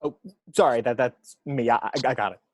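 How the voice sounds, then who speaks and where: A man's voice: mid-range voice, brisk, natural voice. A man playing a nervous, goofy young speedster superhero in a film-script table read, stammering and sheepish. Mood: flustered, sheepish.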